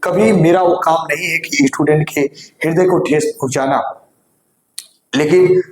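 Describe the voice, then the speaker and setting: Earnest exam-coaching teacher, man, slightly hoarse throat, voice sitting a bit high, recorded in a reverberant room. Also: steady tone, measured pace. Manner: earnest, repeatedly urges students to listen carefully. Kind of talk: direct-to-camera exam news update. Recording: roomy echo.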